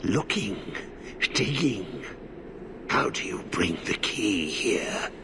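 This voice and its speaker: An actor playing a half-mad, blighted old warden in a video game, muttering in halting, repetitive fragments. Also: male voice, on the low side, very animated, noisy background, exaggerated voice. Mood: cryptic. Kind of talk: dramatic video game acting.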